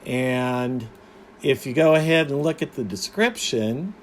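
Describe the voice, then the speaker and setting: A man hosting a hobbyist video, talking casually through a product review in a plainspoken, halting, matter-of-fact way. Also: medium pitch, lively intonation. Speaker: radio hobbyist video host. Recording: faint background noise.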